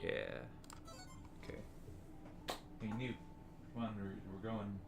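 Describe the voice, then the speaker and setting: Low sarcastic guy, cusses a lot, chatting at home over a game, music clearly in the back. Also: steady tone, slow pace. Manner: grumbling, sarcastic, swears often. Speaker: co-host of a game playthrough. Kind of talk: casual chat. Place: home room. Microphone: shared desk microphone.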